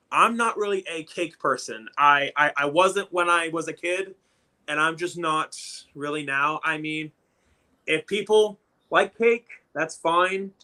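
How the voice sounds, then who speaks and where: Bright-voiced man in his thirties chatting. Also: sits high. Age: 30s. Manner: rambling, repeats words when starting sentences. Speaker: livestream host. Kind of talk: casual livestream chat.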